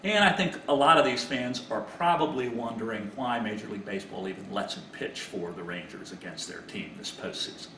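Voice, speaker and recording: A middle-aged man delivers sports commentary in an informal, teasing way, at a medium pitch. He is recorded in a small, echoey room, a little away from the microphone, with some noise behind his voice. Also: fairly quick, few pauses. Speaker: sports analyst. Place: small indoor home office. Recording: roomy, distant mic, background hiss.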